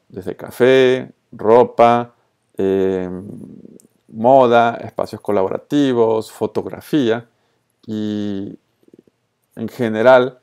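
Mellow man with Colombian-Venezuelan Spanish accent. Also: medium pitch. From born in Colombia, raised in Venezuela. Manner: informal, mixes in English terms. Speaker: social media tutorial presenter. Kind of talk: casual screencast tutorial, spontaneous narration.

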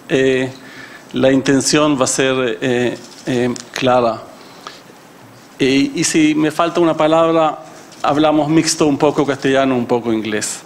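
Fifties man from Chile, guest speaker, slow, slightly high, hesitant. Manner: halting, many fillers and restarts. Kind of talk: formal speech, partly improvised. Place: conference hall, seated at panel table. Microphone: gooseneck table mic.

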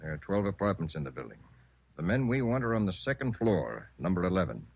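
An actor playing a gruff, hard-bitten police chief in 1950s radio drama, giving orders in a terse, authoritative way. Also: male voice, low voice, sing-song, brisk, full character voice. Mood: businesslike. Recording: dull old radio recording.

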